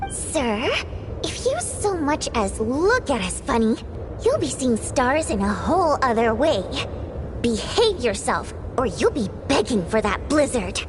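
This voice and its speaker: A woman playing a lively, sharp-tongued young female theater star in a video game, expressive and bossy. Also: fairly high pitch, measured pace, music in the background. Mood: threatening. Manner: expressive, exclamatory.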